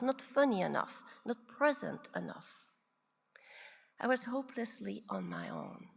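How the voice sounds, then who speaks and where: A woman in her sixties from Belgium, a psychiatrist giving a prepared, reflective conference talk with measured, deliberate phrasing. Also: on the high side, creaky, in a large hall. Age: 60s. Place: large conference hall.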